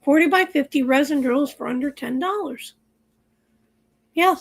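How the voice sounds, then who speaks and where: A woman hosting a livestream, chatting casually and rambling with viewers while her voice is going hoarse. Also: high-pitched, moderate pace. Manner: chatty, rambling, lots of fillers. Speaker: livestream host. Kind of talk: casual chat with live chat viewers.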